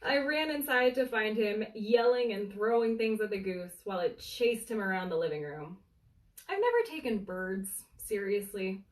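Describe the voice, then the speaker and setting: A woman reads a humorous story aloud as a storyteller, in a deliberate, dramatic way with suspenseful pauses. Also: medium pitch, lively intonation. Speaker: bookseller storyteller reading aloud. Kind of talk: animated bedtime story reading of a humorous book. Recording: roomy, echoey, distant from the mic.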